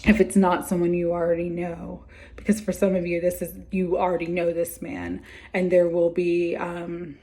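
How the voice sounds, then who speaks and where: A woman doing a weekly tarot card reading, talking gently and meandering with many fillers while she is losing her voice. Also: on the low side, monotone, normal speed.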